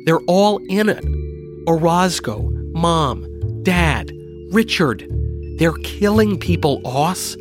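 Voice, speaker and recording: Audiobook role: fragile, frightened young sister, pitched high, pleading and urgent male narrator acting her out. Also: animated, takes it slowly, music in the background. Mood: desperate, pleading.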